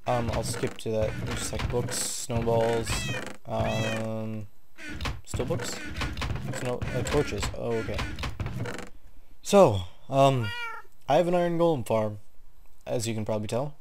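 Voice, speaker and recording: A man's voice: medium pitch, a little mellow. A young man with a sore throat from a cold, casually narrating a tour of his game world and rambling with many ums. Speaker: gaming video host. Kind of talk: casual gameplay commentary.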